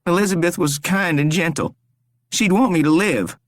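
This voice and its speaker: A man voicing a terrified young man who is tied up and desperately pleading for his life, his voice strained and high. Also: moderate pace, no room sound, natural voice.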